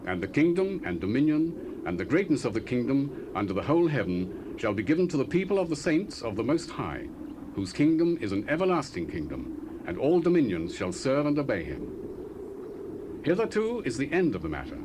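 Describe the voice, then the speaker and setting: An actor playing the prophet Daniel, a grave and troubled man, reading in a solemn, measured way on a 1970s dramatized scripture recording. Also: medium pitch, huge pitch swings. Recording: hissy cassette tape.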